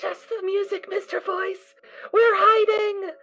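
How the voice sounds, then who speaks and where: A woman voicing a cheerful, naive little girl pony foal who talks in a bubbly, excitable way. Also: extremely high pitch, slow, faint music behind, exaggerated voice. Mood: cautious. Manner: bubbly, sing-song, excitable.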